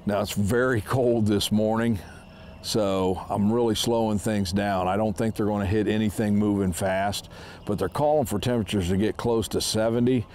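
Low-voiced man explaining fishing outdoors. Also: moderate pace, a little hiss or hum. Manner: relaxed, explaining as he fishes. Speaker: fishing show host. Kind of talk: casual instructional talk while fishing. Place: small boat on a lake outdoors.

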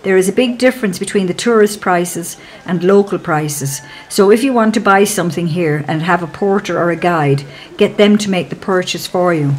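Woman doing calm travel doc voiceover, kinda low voice, measured and clear. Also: a little hiss or hum. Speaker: narrator. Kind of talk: scripted travel documentary narration.

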